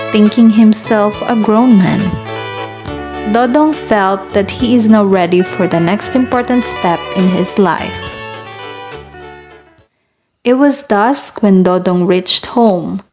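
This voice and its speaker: A woman presenting and narrating a scripted radio drama as its host. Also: mid-range voice. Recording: music playing behind the voice. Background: background music.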